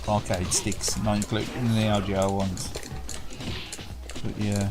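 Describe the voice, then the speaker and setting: A man, croaky and a bit under the weather, hosting a livestream and chatting loosely with viewers. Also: fairly low pitch, little pitch movement, slow pace. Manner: rambling, chatty with restarts. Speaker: livestream host. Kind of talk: casual chat with viewers. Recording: game music playing behind.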